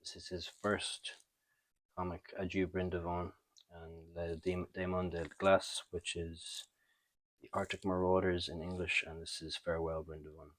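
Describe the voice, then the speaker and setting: A man hosting a comic book review in a casual, unscripted way, thinking aloud with halting, trailing pauses. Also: low voice, level tone, fairly bright tone, dry recording. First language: not French. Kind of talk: casual unscripted show-and-tell commentary.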